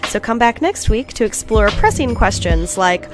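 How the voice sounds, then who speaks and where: A woman with a slightly high voice presents a scripted podcast outro. Music plays clearly behind her as she addresses listeners in the role of host. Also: very animated, normal speed.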